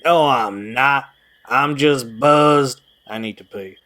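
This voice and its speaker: A man's voice: mid-range voice, slow, sharp tone. Goofy young guy with a playful streak, acted by a man. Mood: tipsy, playful. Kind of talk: audio drama acting.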